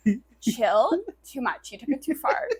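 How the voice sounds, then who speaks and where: A woman's voice: fairly high pitch, big pitch swings, moderate pace, a loud crowd. A woman co-hosting a review podcast, speaking casually in a hedging, playful, crude-mouthed way. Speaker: podcast co-host reviewing short fiction. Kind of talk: casual banter and review chat.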